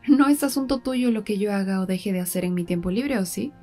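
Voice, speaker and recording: A woman playing a defiant, sarcastic young woman in an ASMR audio drama, with a mocking, drawn-out, breathy delivery. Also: mid-range voice, darkish tone, faint music behind, lightly acted. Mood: irritated, dismissive.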